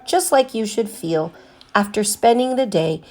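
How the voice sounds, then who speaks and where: A woman with a mid-pitched voice reads a children's picture book aloud as a teacher would for her class. Her delivery is expressive, with deliberate emphasis on the words that matter to young listeners.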